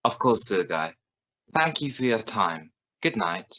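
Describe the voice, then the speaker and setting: A man playing a serious, probing evening news reporter in a science fiction radio play, speaking in a formal, measured way. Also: lowish voice, very animated, pushed and bright, full character voice. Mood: sombre, respectful. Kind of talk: scripted radio news broadcast in a drama.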